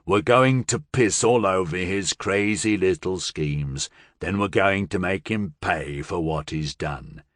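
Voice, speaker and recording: A man voicing a big possessed man who speaks with slow, purring, cold menace. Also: deep voice, no room sound, studio quality. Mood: menacing.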